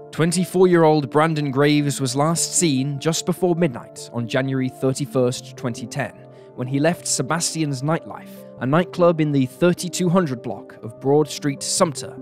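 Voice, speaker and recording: A man delivers scripted true crime narration in a serious, measured way. His voice sits at a medium pitch, and music plays behind him. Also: darkish tone. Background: background music.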